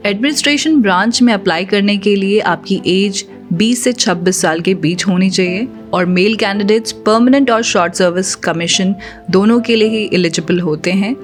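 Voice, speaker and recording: Indian female presenter, scripted explainer read, formal delivery with English terms, mid-range pitch, background music. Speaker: presenter of an exam-preparation channel. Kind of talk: scripted informational narration.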